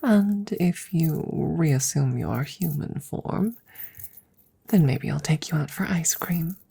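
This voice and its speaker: Woman acting a soft, breathy, unhurried witch. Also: low-pitched, expressive, exaggerated voice. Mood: amused, coaxing. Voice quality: soft, breathy, often slipping into whispers. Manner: unhurried, gently teasing, with soft chuckles. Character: wry, affectionate young witch soothing her werewolf familiar. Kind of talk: ASMR audio roleplay, intimate close-up delivery.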